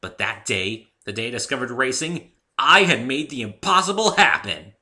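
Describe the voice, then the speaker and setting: A man's voice: mid-range voice, big pitch swings, normal speed, sharp tone, voice pushed up. A man voicing a brash, boastful young female pegasus pony racer in a dramatic story reading, cocky and emphatic. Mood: proud. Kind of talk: dramatic reading of fan fiction.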